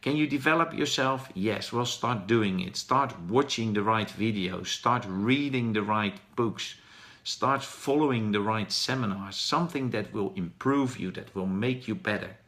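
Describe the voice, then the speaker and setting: A man in his forties with a Dutch accent and a voice that sits on the lower side. He talks earnestly on his daily live stream, encouraging his viewers and filling pauses with ums and uhs. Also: a bit bright. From Netherlands. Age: 40s. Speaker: vlogger.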